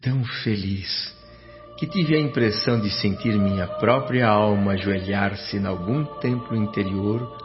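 A man's voice: on the low side, unhurried, lightly acted. An actor playing a grateful, wonder-struck Brazilian doctor, earnestly narrating his afterlife in a radio drama. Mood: awed, grateful. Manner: reflective, earnest. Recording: muffled radio drama with music.

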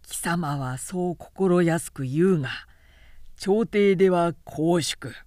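A woman voicing a stern, loyal male general who speaks in a measured, admonishing way, in an audiobook novel. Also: fairly low pitch, dark tone, dry recording, clean studio sound. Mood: stern reproof. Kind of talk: literary audiobook dialogue.

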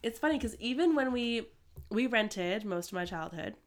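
A woman co-hosting a casual podcast with a stuffy, hoarse voice from a cold. She rambles and fills her speech with "like" and "um". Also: a little high, expressive, normal speed. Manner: rambling, many fillers like "like" and "um". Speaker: podcast co-host. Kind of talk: casual chat.

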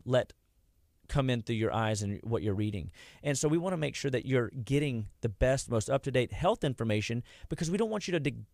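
A man's voice: medium pitch, creaky, no echo, clean studio sound. A man, giving a rambling, folksy talk about health as a wellness clinic host. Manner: rambling, folksy, loses his train of thought.

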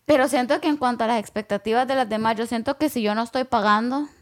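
A woman's voice: fairly high pitch, quick pace. A young adult woman musing aloud in casual conversation, rambling with lots of fillers and slipping in English words.

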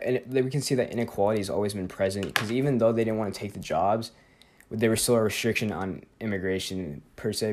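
A teenage boy with a lowish voice hosts a student podcast. He talks in a loose, unscripted way, stumbling over words, correcting himself and leaning on filler words as he goes. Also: a little mellow. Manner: rambling, with many fillers and self-corrections. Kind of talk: casual spoken presentation and interview.

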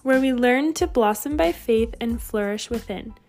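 A woman from the United States with a fairly high voice hosts a faith podcast. She speaks in a personal monologue, earnest and reflective in her delivery. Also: moderate pace, a little mellow, soft background music. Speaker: podcast host.

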